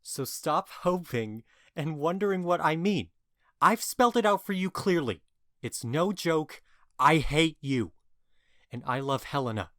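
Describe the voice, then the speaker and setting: A man's voice: a little high, lively intonation, measured pace, a bit bright, no echo, studio quality. A man playing a bewitched, cruel and impetuous young lover, scornful and vehement, in a modernized Shakespeare audio drama. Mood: cold, scornful.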